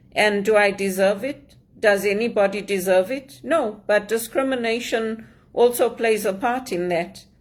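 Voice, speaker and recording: A woman from Zimbabwe in an earnest personal monologue, aggrieved, repeating phrases for emphasis. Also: medium pitch. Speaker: immigrant sharing her story.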